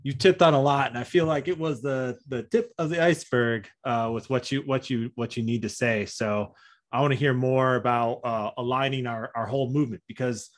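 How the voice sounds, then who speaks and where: Man, moderator, friendly, halting, lots of fillers. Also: mid-range voice, steady tone. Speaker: panel moderator. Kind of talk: online panel discussion.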